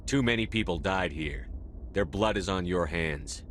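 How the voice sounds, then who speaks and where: Low-voiced military commander, terse delivery. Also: male voice, a little slow, a bit bright, slight background noise. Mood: stern. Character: stern righteous military commander, adult man. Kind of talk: video game voice acting.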